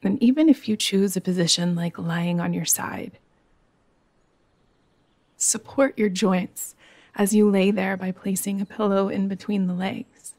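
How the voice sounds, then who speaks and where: A woman teaching yoga nidra, guiding a meditation in a soothing, gentle way with long, unhurried pauses. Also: mid-range voice, steady tone, no room sound. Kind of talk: guided meditation.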